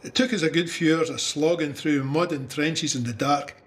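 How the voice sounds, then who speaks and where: A man's voice: a little high, moderate pace, natural voice. A man playing a young Scottish soldier who recounts trench warfare in a candid, wry, conversational way for an audio drama. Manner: conversational storytelling. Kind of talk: first-person audio drama narration.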